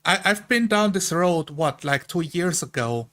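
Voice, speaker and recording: A man who plays tabletop roleplay, chatting casually in a jokey, animated way and acting out quips. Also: a little high, measured pace.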